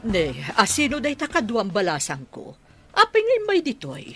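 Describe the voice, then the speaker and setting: A woman playing a scornful, sharp-tongued mother with an Ilocano accent in a radio drama, insulting and accusing. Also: a little high, huge pitch swings, moderate pace, over music. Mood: suspicious.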